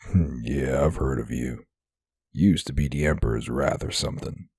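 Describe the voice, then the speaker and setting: A man playing a nasty, crude, defiant gang boss in an audio drama, gruff and sneering. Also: rumbling low, moderate pace, darkish tone, no room sound, clean studio sound, heavily acted. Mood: sneering, hostile. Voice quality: gravelly, husky.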